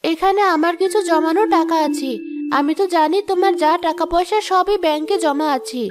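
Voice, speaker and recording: A woman voicing a calm, resourceful young wife in a cartoon, steady and reassuring. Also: extremely high pitch, brisk, faint music behind. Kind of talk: Bengali cartoon voice acting.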